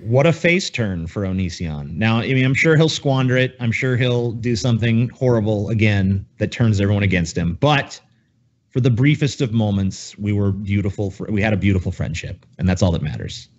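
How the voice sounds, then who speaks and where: A man's voice: on the low side, moderate pace. Sarcastic man in casual, slightly dull podcast audio. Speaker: podcast co-host. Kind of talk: casual, profane banter.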